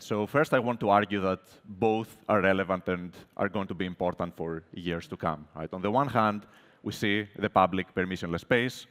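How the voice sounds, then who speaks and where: A man from Greece talks as a panelist in a panel discussion, his voice sitting very low. His explanations run long and are dotted with "right?", and a long hall reverb trails each phrase. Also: fairly bright tone. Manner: explanatory, often ends points with "right?".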